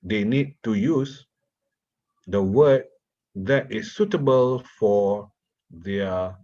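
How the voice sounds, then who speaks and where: Malaysian guy lecturing real slow, low-ish voice, kinda muffled lo-fi sound. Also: darkish tone. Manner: slow, deliberate, repeats words while asking the audience questions. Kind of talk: university lecture.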